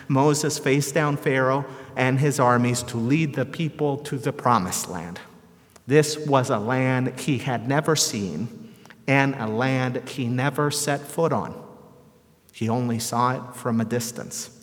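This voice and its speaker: A man from El Salvador preaching a sermon in a measured, rhetorical way with deliberate phrasing and repetition. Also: medium pitch. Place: large reverberant church or hall. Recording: echoey hall with long reverb.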